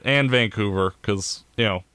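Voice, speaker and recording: A man co-hosting a casual sports podcast, talking in a gloomy, measured way. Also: on the low side, bright, forceful tone, smooth, dry recording. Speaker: podcast co-host.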